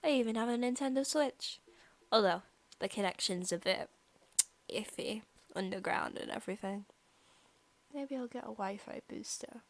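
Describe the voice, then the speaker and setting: A woman playing a possessive, sadistic young vampire in a softly spoken ASMR roleplay, teasing and casually menacing, at times slipping into whispers. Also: fairly high pitch, normal speed, long pauses, no echo, natural voice. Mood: sweetly smug.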